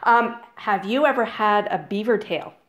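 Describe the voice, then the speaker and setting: A woman's voice: mid-range voice, moderate pace. A woman blogger interviewing a guest in a bubbly, eager way, laughing often. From Canada. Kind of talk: casual interview.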